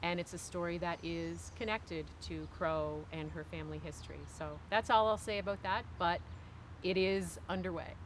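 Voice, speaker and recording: A woman's voice: lowish voice, steady tone, moderate pace, slight background noise. A woman in her forties from Cape Breton, Canada, giving thoughtful, emphatic, conversational answers as an interview guest. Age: 40s. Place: outdoors on a wooden bench by woods.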